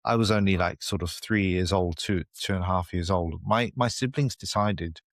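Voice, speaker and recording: Low-voiced British man, thoughtful. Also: moderate pace, no echo, clean studio sound. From United Kingdom. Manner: reflective, explains with lists and frequent "you know" and "right?". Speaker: podcast guest. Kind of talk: conversational interview.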